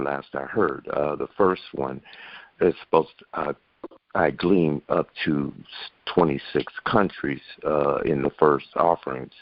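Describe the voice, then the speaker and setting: A man's voice: rumbling low, no echo. A man calling in by telephone, rambling casually in a folksy, hesitant way with playful slang. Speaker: caller. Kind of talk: casual rambling phone talk. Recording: dull lo-fi phone line.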